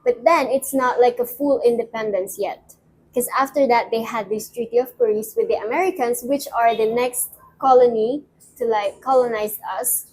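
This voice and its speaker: A woman's voice: a little high, normal speed, darkish tone, breathy edge, rough recording. A young woman from the Philippines co-hosting a casual chat, explaining at length with lots of "um" and "like". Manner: explanatory, with fillers like "um" and "like". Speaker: co-host.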